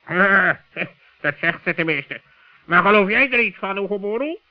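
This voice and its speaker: A man's voice: high-pitched, normal speed, no echo. A man playing a chatty, suspicious, blundering male raven in a children's radio play. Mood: sceptical. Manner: blurting, excitable, suspicious. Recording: dull, dry old radio recording.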